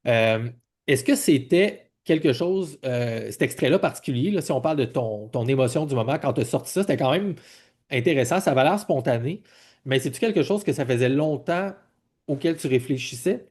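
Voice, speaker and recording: A man in his forties with a Quebec French accent hosts a casual podcast interview at a medium pitch. He speaks informally, feeling his way through questions and rephrasing them as he goes. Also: animated, moderate pace, darkish tone. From Quebec, Canada. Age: 40s. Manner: informal, rambling, self-correcting questions. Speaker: podcast host.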